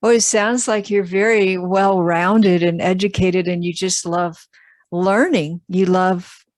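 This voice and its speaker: Slow, effusive female podcast host. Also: lowish voice, steady tone, no room sound, studio quality. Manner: warm, effusive praise. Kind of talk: interviewing a guest.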